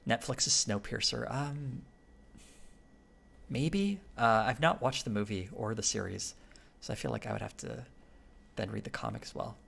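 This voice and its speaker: Male streamer, raspy, heavy gravel in the voice, loose rambling delivery. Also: on the low side, a bit bright. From Canada. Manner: rambling, many fillers and restarts. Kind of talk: casual live-stream chat with viewers.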